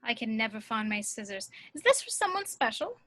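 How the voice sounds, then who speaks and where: A woman's voice: a little high, very animated, brisk, pushed and bright, no room sound, full character voice. A woman reading a chatty, gossipy older village florist in a table read, rambling and confiding. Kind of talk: table read over video call.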